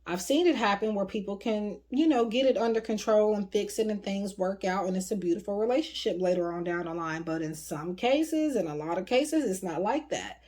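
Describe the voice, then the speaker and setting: A woman talks through a tarot reading in a loose, chatty way, full of "like" and "um". Her voice is starting to wear and sounds slightly hoarse. Her pitch sits in an ordinary middle range for a woman. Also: expressive, brisk, few pauses, a little mellow. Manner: chatty, rambling, frequent fillers. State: voice getting hoarse. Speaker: tarot reader. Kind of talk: casual tarot reading to camera.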